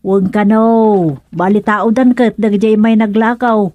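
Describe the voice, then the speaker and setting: A woman's voice: medium pitch, steady tone, unhurried, darkish tone, dry recording, natural voice. An actress playing a practical, chatty village mother in a radio comedy drama. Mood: explaining.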